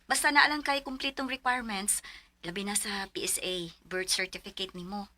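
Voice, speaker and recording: A woman's voice: mid-range voice, fairly even intonation, normal speed, pushed and bright, lightly acted. An actress playing a chatty, helpful young woman in a Bisaya radio drama, with a Cebuano accent. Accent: Cebuano Philippine. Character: chatty, helpful young female boarding-house friend.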